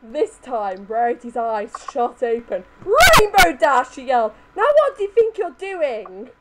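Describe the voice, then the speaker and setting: Slightly high-voiced woman reading a story. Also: very animated, unhurried. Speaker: reader in a group dramatic reading. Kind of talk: amateur dramatic reading of fan fiction. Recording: faintly noisy home voice-chat recording.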